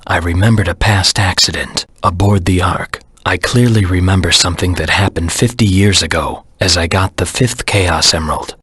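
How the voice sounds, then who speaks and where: A male voice actor playing a brooding, determined hedgehog anti-hero in a video game, with a gravelly rasp and a grim, deliberate delivery. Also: deep voice, fairly bright tone, no echo, exaggerated voice. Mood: brooding, resolute. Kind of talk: scripted game dialogue and story narration.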